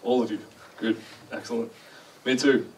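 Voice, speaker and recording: A man's voice: medium pitch, fairly even intonation, moderate pace, darkish tone, recorded from a distance, slight background noise, rough recording. Australian man giving a casual conference talk. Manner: chatty, self-deprecating, asks the audience questions. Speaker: conference speaker. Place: large dark lecture hall.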